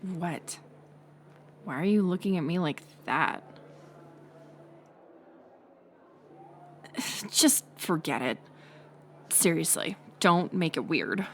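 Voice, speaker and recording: A young woman playing a mocking college bully in an audio roleplay, sarcastic and teasing, with a hidden softer side. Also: on the low side, lively intonation, slow, pauses often, slight background noise, heavily acted. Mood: flustered, defensive. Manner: sarcastic, teasing, scoffing with sighs and breathy asides. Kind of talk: audio roleplay acting, intimate one-sided dialogue.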